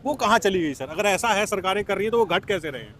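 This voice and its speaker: A man's voice: high-pitched, lively intonation, quick pace, a bit bright. A man working as a street reporter, putting questions to passers-by. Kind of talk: vox pop interview with questions and prompts. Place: outdoors in an urban area. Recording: noisy outdoor street with traffic.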